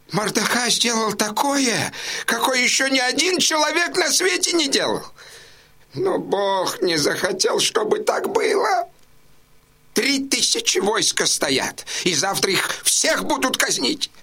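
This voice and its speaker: An actor playing a wily, pleading, deferential go-between in a theatrical audio drama, exclaiming and repeating himself. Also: male voice, extremely high pitch, sing-song, measured pace, sharp tone, heavily acted. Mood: lamenting, aggrieved. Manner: wheedling, exclamatory, repetitive.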